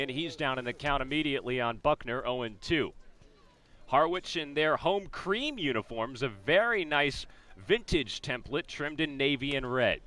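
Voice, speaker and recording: Bright, forceful American baseball announcer. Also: male voice, fairly high pitch. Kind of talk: live sports commentary, descriptive radio play-by-play.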